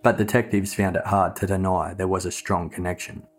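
A man reading scripted true crime narration in a measured, matter-of-fact way. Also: sits low, flat delivery, husky, faint music behind.

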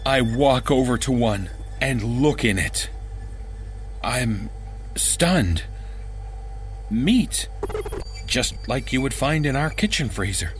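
A man reading a first-person horror story in a measured, dramatic way, as an audiobook narrator. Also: mid-range voice, expressive, soft background music.

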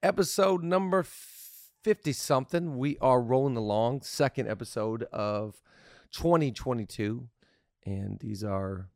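A man's voice: mid-range voice, a little slow, gravelly, no room sound, studio quality. A man hosting a casual podcast on leadership, upbeat and playful, laughing easily with his co-host.

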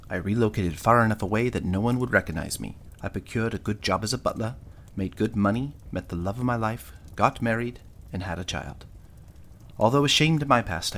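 A man's voice: on the low side, lightly acted. A man playing an ashamed butler haunted by his past, narrating a confession in a radio drama. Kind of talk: dramatic first-person narration in radio play.

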